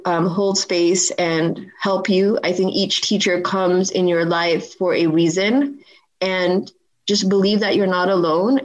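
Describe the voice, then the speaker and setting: A woman's voice: lowish voice, rough recording. A woman in her forties, a podcast guest telling a personal story in conversation and acting out the dialogue with animation. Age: 40s.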